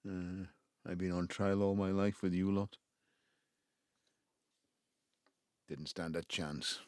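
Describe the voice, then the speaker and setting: An actor playing an embittered, wistful Welsh father in his seventies, with a South Wales Valleys accent and a halting, reflective delivery in an audio drama. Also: fairly even intonation, a little mellow, no room sound, studio quality, close to an everyday voice. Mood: defensive, angry. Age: 70s. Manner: halting, reflective, breaking into low muttering. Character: embittered ageing Welsh patriarch, wistful and self-justifying. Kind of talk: naturalistic audio drama monologue.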